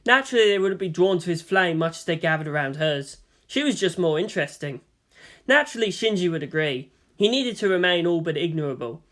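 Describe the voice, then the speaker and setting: A man reading fan fiction aloud as an expressive audiobook narrator. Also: high-pitched, a little fast.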